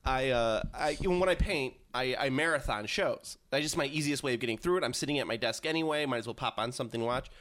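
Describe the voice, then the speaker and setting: A man's voice: mid-range voice, quick pace, hardly any pauses, fairly bright tone. An American man in his thirties chatting casually and jokingly as a co-host, opinionated and repeating words as he gets going. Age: 30s.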